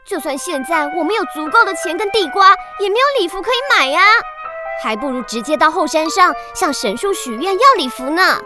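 A petulant, dreamy and ambitious young girl. The voice is very high and swings widely, exclamatory in children's radio drama style, with music behind. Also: lively intonation, fairly quick. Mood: exasperated.

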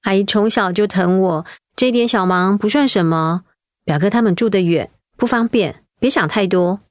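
A woman's voice: medium pitch, moderate pace, darkish tone, no room sound, clean studio sound, close to an everyday voice. An actress playing a kind, patient middle-aged mother who tells her daughter a family story and looks after an elderly aunt. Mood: caring. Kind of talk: radio drama acting.

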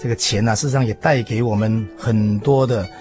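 A man with a Taiwanese Mandarin accent explains things conversationally on the radio, haltingly, repeating phrases and trailing off with particles. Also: lowish voice, steady tone. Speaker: interview guest commentator. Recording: music behind the voice. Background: background music.

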